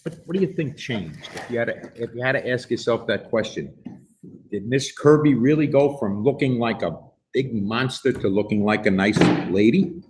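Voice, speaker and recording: A man reading a storybook aloud to children in an animated way, putting questions to his listeners in a gently instructive manner. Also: mid-range voice, moderate pace, a little hiss or hum, low-quality recording. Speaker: storybook reader and host.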